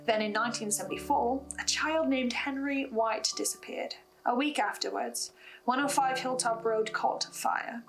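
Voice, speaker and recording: A woman's voice: a little high, gravelly, a bit bright, low-quality recording. A woman presenting a scripted recap of a horror story, adding her own theories and asides. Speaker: host of a recap and theory video on a horror podcast.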